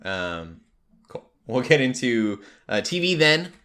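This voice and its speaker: A man's voice: medium pitch, very animated, slow pace, pushed and bright. A man hosting a casual pop culture podcast, chatting and recommending things enthusiastically. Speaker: podcast host.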